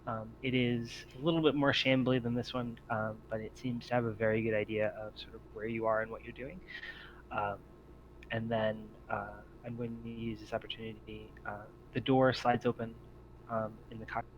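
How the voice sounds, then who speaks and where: A man acting as game master, improvising tabletop game narration in halting, piecemeal descriptions. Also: medium pitch, level tone. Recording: dull lo-fi audio, noisy background.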